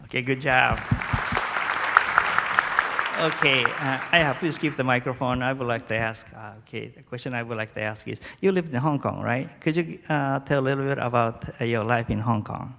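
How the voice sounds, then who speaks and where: Gravelly man moderating in a hall. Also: medium pitch, normal speed, fairly bright tone, some chatter behind, a little hiss or hum. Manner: casual, hesitant with many fillers. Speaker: discussion moderator. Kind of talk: moderating a student panel. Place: large hall with audience. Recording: echoey hall, audience faint.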